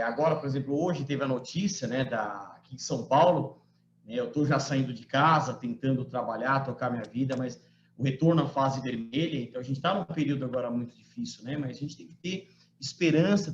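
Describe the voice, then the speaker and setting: A man's voice: medium pitch, brisk, slight background noise. Man in his forties lecturing over a call. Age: 40s. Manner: conversational, frequent tag questions, emphatic quoting. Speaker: law professor giving a lecture. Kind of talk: online lecture to students. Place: home room. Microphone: computer mic on a video call. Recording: roomy, muffled video-call audio.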